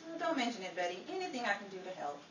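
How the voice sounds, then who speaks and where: Man playing helpful, easygoing pal for retro radio comedy; animated pitch, noisy recording. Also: racing, far from the mic. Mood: amiable, wry. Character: easygoing, helpful friend in a 1950s Hollywood circle. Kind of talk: old-time radio comedy acting. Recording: echoey, noisy, off-mic with crowd sound.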